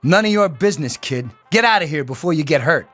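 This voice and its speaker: Teenage gang bully, sneering, higher pitch, lively swings, cocky, video game, light music behind. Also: male voice, brisk. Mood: threatening. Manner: taunting, swaggering.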